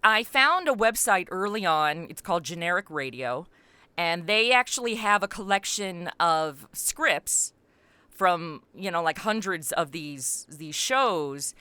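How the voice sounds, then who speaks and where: A middle-aged American woman talking casually as an interview guest about her creative work, animated and informal. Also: on the low side, measured pace, clear, resonant tone, no room sound. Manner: animated, informal, peppered with like and you know. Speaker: interview guest, comedy podcast writer and voice actor.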